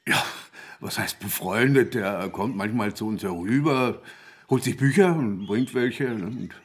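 An actor playing a bewildered, polite astrophysicist in a naturalistic radio play, hesitant and eager to please. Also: male voice, lowish voice, measured pace, slightly rough. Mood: nervous, chatty. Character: bewildered, polite male astrophysicist being questioned at home.

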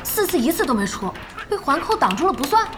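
A woman playing a feisty, impatient internet cafe boss in an audio drama, blunt and expressive. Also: high voice, quick pace, background noise. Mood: excited. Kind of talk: Chinese audio drama acting.

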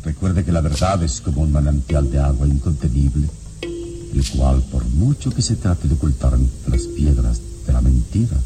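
An actor in a radio drama playing a solemn, relentless and eloquent heroic investigator who questions in formal, theatrical diction. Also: male voice, extremely low pitch, animated, moderate pace, over music. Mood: coolly insistent. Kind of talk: classic Latin American radio drama acting.

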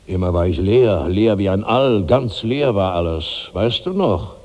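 A man's voice: on the low side, lively intonation, a little slow, darkish tone. An actor in a 1960s German radio drama playing a huge, heavy old man tormented by bottomless hunger, in declamatory, incantatory repetition. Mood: insistent. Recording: long hall reverb on old radio recording.

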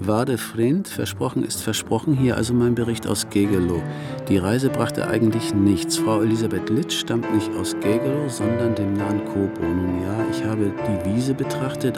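A man playing a fussy music researcher who reads out his written report in a measured, dry, pedantic way, as in a radio play. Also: fairly low pitch, creaky. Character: Dutch male music researcher reporting by letter, dryly fussy. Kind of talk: letter read as scripted radio-play narration.

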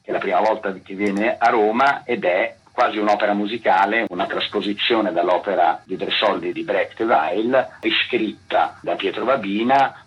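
A man's voice: deep voice, fairly bright tone, husky, poor audio. A man explaining things as a guest in a radio interview, sometimes searching for his words. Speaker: interview guest, theatre producer.

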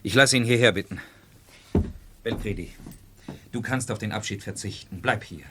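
An actor playing a firm, brisk gentleman who organises others in a 1970s radio drama. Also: lowish voice, noisy background, close to an everyday voice. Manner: brisk, directing. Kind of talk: 1970s German radio play acting.

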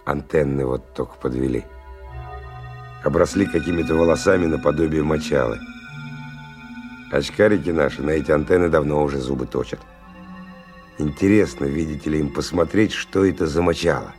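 A man's voice: a bass voice, moderate pace, long pauses, darkish tone. An actor playing a tough, cynical stalker who tells his story in a colloquial, sardonic radio-drama monologue with dramatic swings. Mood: wary, wry. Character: tough, cynical male stalker narrating his dangerous expedition. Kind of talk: 1990 radio drama first-person monologue. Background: music.

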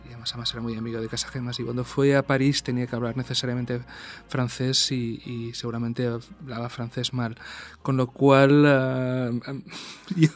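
A man with an accent from Spain discussing casually as a co-host, halting and rambling, often repeating words. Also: mid-range voice, level tone, faint music behind. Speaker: show co-host and commentator.

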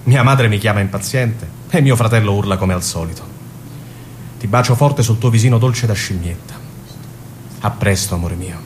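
A man's voice: fairly low pitch, normal speed, a little hiss or hum, lightly acted. An actor playing a lovesick young Gazan man, reading out love letters in a tender, intimate way in a radio drama. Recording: guitar music under the voice.

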